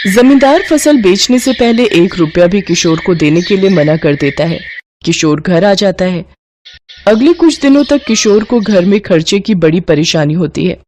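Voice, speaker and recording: A woman narrating a cartoon story in a steady, scripted storytelling manner. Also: lowish voice, a little hiss or hum.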